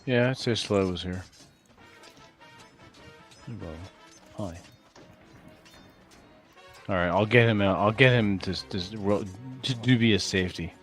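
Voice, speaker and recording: A man's voice: fairly low pitch, takes it slowly, pauses often, a bit bright, faint music behind. A man playing a tabletop game, casually saying what his character does with wry asides.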